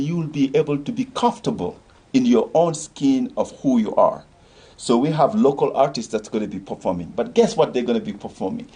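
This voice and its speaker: A man's voice: mid-range voice, dark tone, slightly rough. An older man from Senegal, a community organization leader, talking earnestly in a discussion show and rallying listeners with repeated phrases.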